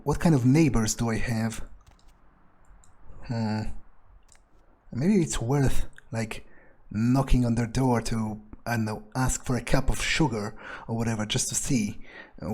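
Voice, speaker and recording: A man's voice: medium pitch, unhurried, darkish tone, breathy edge. A man playing a cynical, crude gangster turned vampire, thinking aloud in character in a sardonic, hesitant mutter during a video game. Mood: musing.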